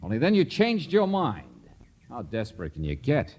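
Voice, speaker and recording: A man playing a tough, sharp-tongued private detective in an old American radio drama, needling and insistent. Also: mid-range voice, wildly swooping pitch, normal speed, breathy edge, full character voice. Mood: confrontational, sardonic. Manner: hard-boiled, needling, insistent. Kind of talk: 1940s-50s American radio drama acting. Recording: vintage radio drama recording.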